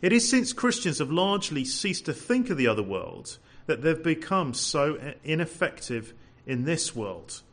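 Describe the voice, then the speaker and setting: A man preaching a sermon to a congregation, animated and full of rhetorical questions. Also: fairly high pitch, unhurried, hardly any pauses. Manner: says he has a loud voice.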